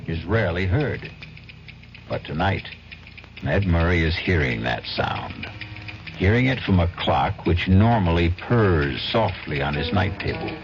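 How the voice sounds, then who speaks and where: A man hosting and narrating a radio mystery drama in a measured, theatrical way. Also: deep voice, creaky. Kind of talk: scripted narration. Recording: muffled old radio broadcast with music.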